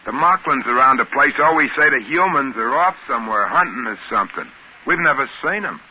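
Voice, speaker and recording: A man's voice: medium pitch, expressive, brisk, lightly acted. Male actor as a folksy, easygoing space-outpost trader for vintage radio drama; plain-spoken, muffled, lightly hissy sound. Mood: deferential. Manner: folksy, colloquial, ungrammatical. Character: folksy, easygoing man running an alien trading post. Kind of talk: 1950s radio drama acting, first-person narration and dialogue. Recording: dull, hissy 1950s radio recording.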